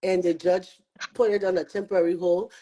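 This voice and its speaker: A woman talking earnestly on a show, arguing her view in rapid bursts. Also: lowish voice, little pitch movement, warm tone, low-quality recording. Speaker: guest commentator. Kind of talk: opinionated chat.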